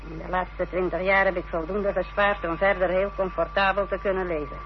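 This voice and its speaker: An actress playing a proud, defiant middle-aged mother in a radio drama, speaking in a haughty, theatrical, deliberate way. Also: lowish voice, fairly even intonation, lightly acted. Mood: proud, self-satisfied. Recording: dull, noisy old radio recording.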